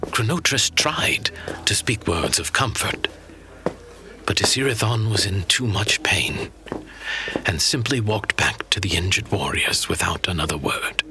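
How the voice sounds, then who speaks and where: A man playing a knowing narrator of fantasy legends, grave, deliberate and dramatic, with a breathy voice. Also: low-pitched, animated, whispered, music in the background. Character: solemn old-world male storyteller of legends, knowing. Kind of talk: scripted fantasy storytelling.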